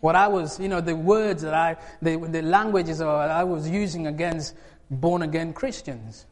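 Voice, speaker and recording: Animated Ugandan-born man preaching, echoey hall. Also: on the high side, moderate pace. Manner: lively, rising to emphatic bursts with laughs. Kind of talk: sermon. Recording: long hall reverb.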